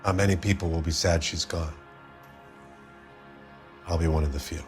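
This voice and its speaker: Low-voiced, world-weary man played by an actor. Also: fairly even intonation, moderate pace, darkish tone, faint music behind, natural voice. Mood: wistful, subdued. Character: downbeat, world-weary male friend of a murdered woman. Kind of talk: 1940s crime game dialogue acting.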